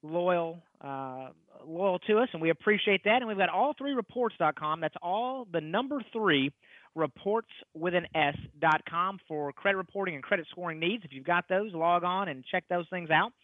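A middle-aged man hosts a radio talk show in an East Texas accent. His voice sits a little high and comes through narrow and tinny, like a phone line. He talks in a folksy, halting way, doubling back on his words. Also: animated, no echo. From East Texas, United States.

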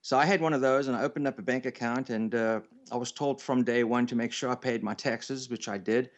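A man's voice: medium pitch, fairly quick. A man in his fifties with a South African accent, telling his story casually and good-humouredly as a radio guest. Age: 50s. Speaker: radio show guest, entrepreneur. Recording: slightly dull remote radio connection.

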